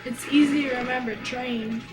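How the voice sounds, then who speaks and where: A young woman teasing her friend with deadpan jokes while they play a game together. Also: sits high, moderate pace, heavy background noise. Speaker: gamer. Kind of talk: casual gameplay chat.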